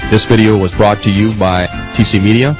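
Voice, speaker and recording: A man's voice: on the low side, fairly even intonation, brisk. A man reading a scripted promotional voice-over as an announcer. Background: plucked guitar music.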